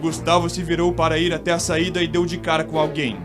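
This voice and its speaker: Male narrator, Brazilian accent, high-pitched, dramatic radio ghost story reading, music underneath. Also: little pitch movement, fast.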